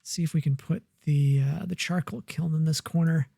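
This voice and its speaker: A woman's voice: low voice, steady tone, moderate pace, gravelly, dark tone, breathy edge, no echo, studio quality. A woman casually talking through a survival game as she builds, muttering half to herself. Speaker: gamer. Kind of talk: casual let's-play commentary.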